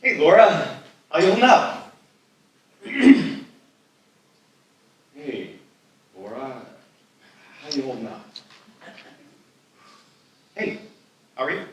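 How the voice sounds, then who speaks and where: An awkward young man with a scheming streak and a crush, acted by a man at a table read. His pitch moves around a lot, and he is heard in a very echoey hall. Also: very animated, extremely slow, pauses often, heavily acted. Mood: rehearsed casual. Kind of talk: live screenplay table read. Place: live festival reading in an echoey room. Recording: echoey hall, distant mic, faint noise.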